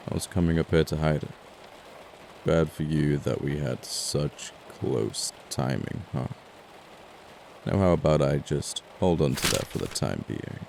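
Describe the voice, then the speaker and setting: A man playing an amoral, flirty murderer in an ASMR audio roleplay, speaking in a gravelly, deliberate, sardonic way with pauses between threats. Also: a bass voice, darkish tone, slight background noise, heavily acted. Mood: cool menace. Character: amoral, flirty, apologetic male murderer, coolly menacing.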